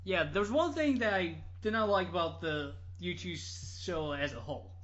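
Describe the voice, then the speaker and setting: A man telling a story casually on a podcast, halting and rambling as he goes. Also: sits high, animated, fairly bright tone. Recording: dull lo-fi audio.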